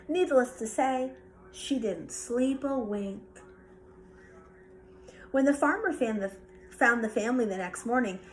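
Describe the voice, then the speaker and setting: A woman reading a children's bedtime story aloud with expressive narration. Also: high voice, a little hiss or hum, rough recording. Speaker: storybook reader.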